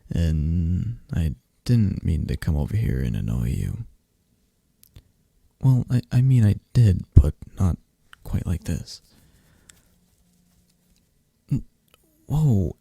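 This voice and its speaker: A man voicing a shy, affectionate best friend in an ASMR roleplay, speaking softly and stammering with nerves. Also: low-pitched, sing-song, takes it slowly, gravelly, long pauses, slightly rough, no room sound, exaggerated voice. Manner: soft-spoken, stammering, gentle.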